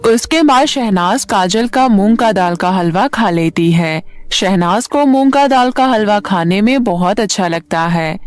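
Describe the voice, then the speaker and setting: A woman's voice: medium pitch, lively intonation, a little slow, hardly any pauses, dry recording. A woman reading a scripted fairy tale for children as a storyteller. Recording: music bed under the voice. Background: background music.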